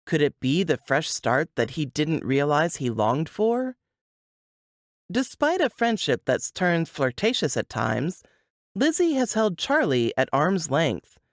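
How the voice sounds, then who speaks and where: A woman's voice: low-pitched, very animated, slow pace, no room sound, studio quality. A woman reading scripted, dramatic book narration.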